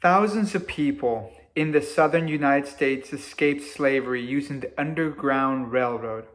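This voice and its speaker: A man reading a story aloud to children in a deliberate, expressive way, like a teacher. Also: mid-range voice.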